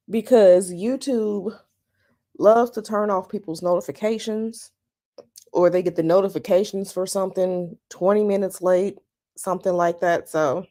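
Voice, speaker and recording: A woman with a slightly raspy morning voice, chatting casually with viewers on a live stream from home and greeting them by name. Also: medium pitch, darkish tone. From United States. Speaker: tarot channel host. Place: at home, bedroom.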